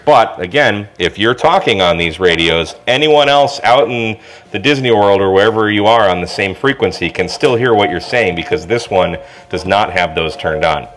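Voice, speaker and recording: A man's voice: on the low side, a bit bright. A man talking informally through a product review, explaining things step by step in a casual way.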